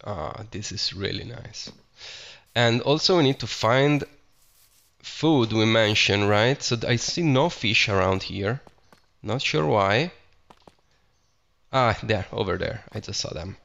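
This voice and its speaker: A man's voice: lowish voice, expressive, slow, gravelly, fairly bright tone. A young adult man from Italy with an Italian accent, chatting casually and enthusiastically as he narrates his Minecraft gameplay, often restarting his phrases. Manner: chatty, self-correcting, enthusiastic about the game. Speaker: Minecraft let's play host. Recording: slightly dull lo-fi audio with music behind.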